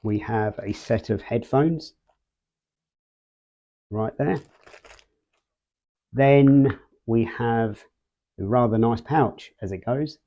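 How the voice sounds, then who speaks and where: Narrow phone-band audio, male reviewer, slow delivery, casual unboxing style, measured short phrases, pauses mid-sentence. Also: medium pitch. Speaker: video host, product reviewer. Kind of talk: casual unboxing and product walkthrough.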